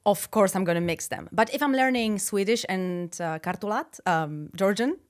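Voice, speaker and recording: A young woman whose first language is Polish, chatting in English as a podcast guest, lively and full of fillers. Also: mid-range voice, moderate pace. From Poland. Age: young adult. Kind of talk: casual interview chat.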